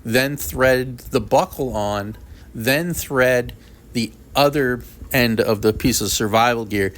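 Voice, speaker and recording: A man's voice: medium pitch, takes it slowly, a bit bright. A man with a mid-range voice presents a product review. He explains each feature and step in a plain, practical manner, as in an instructional unboxing video.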